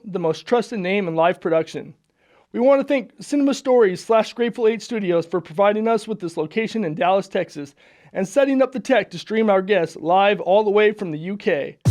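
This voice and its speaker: Upbeat high-voiced male podcast host. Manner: upbeat, friendly, with frequent fillers. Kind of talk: casual interview chat. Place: studio.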